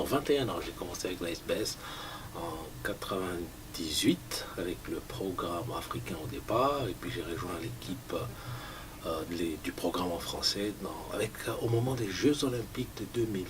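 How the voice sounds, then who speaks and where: A man from Rwanda who presents radio programs, casually introducing himself and correcting himself as he goes. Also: deep voice. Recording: distant mic with background noise.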